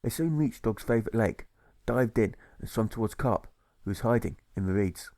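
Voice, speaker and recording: Mellow man reading a fable, close and dry. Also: fairly low pitch, expressive, normal speed, no room sound. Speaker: author reading own audiobook. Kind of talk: scripted rhyming fable narration.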